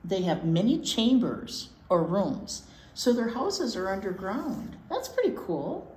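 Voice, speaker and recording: A woman hosts a children's read-aloud with very lively, swooping intonation. She mixes simple reading with folksy, informal explanations and repeats words for emphasis. The room is echoey, with some faint noise. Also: medium pitch, slow pace. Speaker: children's storytime presenter.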